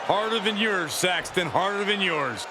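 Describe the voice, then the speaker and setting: A man's voice: high-pitched, expressive, moderate pace. A man playing a brash, opinionated pro wrestling commentator in a video game, calling action with punchy emphasis. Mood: emphatic. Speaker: color commentator. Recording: loud arena crowd noise throughout. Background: roaring arena crowd.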